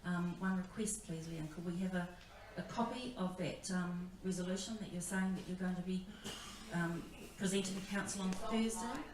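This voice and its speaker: A woman on a community board making halting, conciliatory remarks at a public meeting. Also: on the low side. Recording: echoey hall, distant mic, faint noise.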